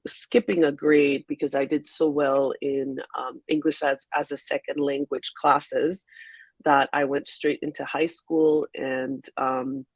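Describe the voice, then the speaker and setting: A woman from Haiti whose first language is French, a magazine founder answering questions on an online webinar call in a soft, unhurried way with frequent ums. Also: deep voice, husky, no echo. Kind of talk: webinar interview.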